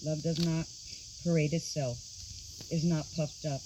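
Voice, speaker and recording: A woman, an aunt and godmother, giving a measured, solemn scripture reading at a wedding. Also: low voice, steady tone, noisy background. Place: outdoor wedding. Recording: loud outdoor crickets behind.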